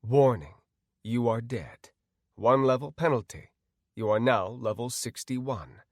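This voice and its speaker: An impersonal game system voice, read by a man, formal and detached. It gives notices at a slow, deliberate pace in a dry, close recording, as part of a fantasy audiobook. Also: mid-range voice, dry recording, natural voice. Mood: impersonal warning. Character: impersonal game system announcer reading death penalties.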